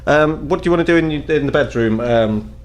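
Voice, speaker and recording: A man's voice: fairly high pitch, brisk. A man working as game master, casually narrating scenes and prompting players in an easygoing, conversational manner. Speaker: game master of a tabletop role-playing game.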